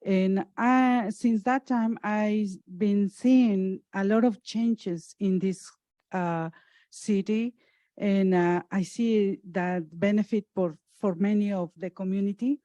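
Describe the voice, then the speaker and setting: A woman from Mexico City with a Mexican Spanish accent, a native Spanish speaker introducing herself haltingly as a community connector at a council meeting. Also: medium pitch, fairly even intonation, warm tone, no room sound. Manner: halting, searching for words.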